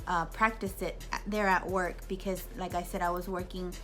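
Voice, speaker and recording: A woman in her thirties chatting casually to her camera, answering viewer questions with lots of fillers, restarts and animated asides. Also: lowish voice, moderate pace, faint music behind. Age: 30s. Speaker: YouTube vlogger.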